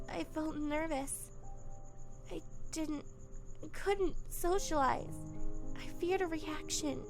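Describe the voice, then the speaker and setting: A woman voicing a shy, lonely teenage girl in a visual novel, speaking in a quiet, hesitant way. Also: extremely high pitch, heavily acted. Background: music playing behind the voice.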